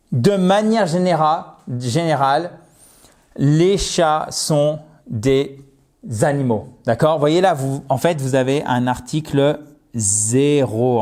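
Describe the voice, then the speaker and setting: A French-speaking man gives an English lesson to the camera in a mid-range voice. He stresses and spells the English words slowly and keeps asking his viewers whether they follow. His voice sometimes drifts off the mic as he moves around. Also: medium pitch. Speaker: English teacher. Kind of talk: video lesson, explaining to viewers.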